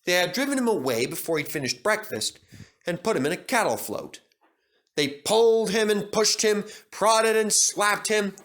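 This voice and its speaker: Animated man reading a children's story. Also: high voice. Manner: expressive storytelling. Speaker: storybook narrator. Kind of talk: scripted children's story narration.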